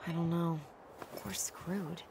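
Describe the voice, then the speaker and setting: A woman's voice: lowish voice, flat delivery, slow pace, warm tone, a little hiss or hum. An actress playing a guarded, practical young American woman with dry wit in a video game, delivered naturally and with understatement. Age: young adult. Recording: game cutscene with background music. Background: music.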